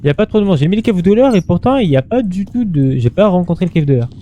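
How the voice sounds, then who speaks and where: A man's voice: sits high, sing-song, rapid-fire, mellow, faint music behind. A man doing casual gameplay commentary in an informal, slangy way, muttering to himself and chatting to his viewers. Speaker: gaming streamer.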